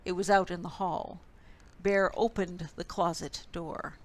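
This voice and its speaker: A woman storyteller reading a children's picture book expressively, with deliberate, dramatic phrasing. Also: fairly low pitch, fairly even intonation.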